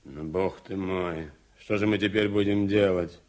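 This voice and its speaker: A cautious, worried man of the establishment in an old radio play. His voice is fairly low and he words things with deliberate care. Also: steady tone, darkish tone. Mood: dismayed. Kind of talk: 1980s Soviet radio drama acting.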